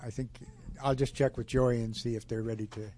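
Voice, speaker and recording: A man hosting a community event who makes halting announcements full of fillers. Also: mid-range voice, flat delivery, mellow, a little hiss or hum. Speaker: event host.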